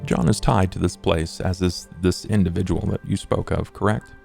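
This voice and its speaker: A man acts out a courteous schemer with a low, heavily creaking voice. He talks business in formal, measured phrases, suave and wordy, in a roleplay podcast. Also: darkish tone, faint music behind. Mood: probing. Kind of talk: in-character tabletop roleplay.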